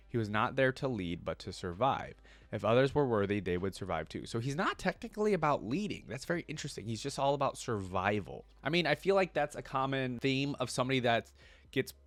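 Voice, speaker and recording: A man's voice: fairly low pitch, no room sound. A man reading a story aloud and commenting casually as a reaction video host, often thinking aloud.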